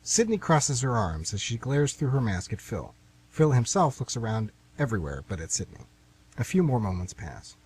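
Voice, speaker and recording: A man's voice: mid-range voice, sing-song, moderate pace, darkish tone, breathy edge, low-quality recording. A man narrating and announcing a scripted radio theatre play.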